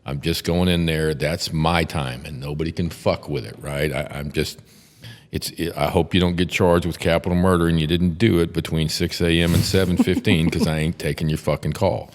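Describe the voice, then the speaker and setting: Gravelly, deep Texan man, blunt and profane. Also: steady tone, long reverb tail. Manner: blunt, profane, halting restarts. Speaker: podcast host, defense attorney. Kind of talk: casual podcast chat.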